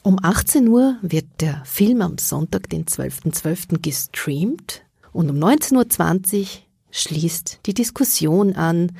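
Radio host, female, slow deliberate delivery, dark voice, dry studio sound. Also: on the low side, gravelly, breathy edge, dry recording. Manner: measured, formal announcing. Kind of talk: radio moderation. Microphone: studio microphone.

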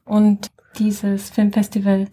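A woman's voice: medium pitch, completely flat delivery, slow pace, mellow, breathy edge. A woman, a program coordinator, introducing herself casually as a guest on studio radio.

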